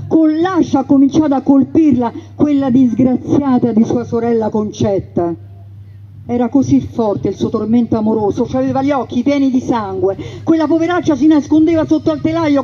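A woman's voice: sits high, slight background noise. Woman narrating with dramatic emphasis. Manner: dramatic, emphatic, swings between loud and hushed. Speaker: stage narrator. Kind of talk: theatrical storytelling narration. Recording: dull lo-fi radio broadcast.

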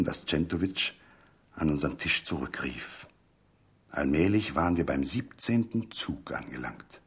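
A man narrating a literary story from a script in a measured, expressive storytelling manner. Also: deep voice, breathy edge.

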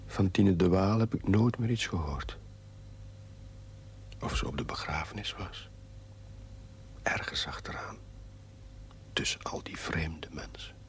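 Low, whispering, wistful man. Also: expressive, measured pace, pauses often. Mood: wistful, brooding. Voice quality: breathy, half-whispered. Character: pensive man looking back, wistful and doubting. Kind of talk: reflective narration in television drama. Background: music.

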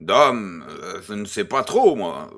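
An actor playing an urbane, grandiose baron, florid, courteous and self-assured, in a French audio drama. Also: male voice, on the low side, very animated, slow pace, fairly bright tone, heavily acted. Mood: reassuring.

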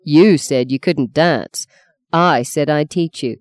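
A woman's voice: low voice, slow, no room sound. Woman doing a self-assured young male stockman, teasing, dry close sound.

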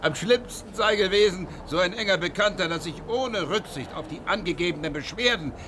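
An actor playing a blunt old surgeon returned from the dead, gruff and direct. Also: male voice, sits high, fairly quick, lightly acted. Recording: noisy background ambience.

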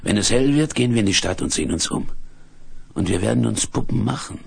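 A man acting a big, gruff, protective hermit with a gravelly, husky voice in a 1970s German radio play, speaking in an unhurried, commanding way. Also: on the low side, expressive, exaggerated voice. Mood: calm, decisive. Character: big red-bearded hermit, gruff, protective secret father.

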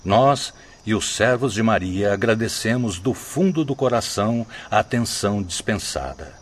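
A low, husky courteous emissary. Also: male voice, animated, moderate pace. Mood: gracious, resigned. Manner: formal, gracious. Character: understanding, courteous male spirit emissary. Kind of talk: radio drama acting.

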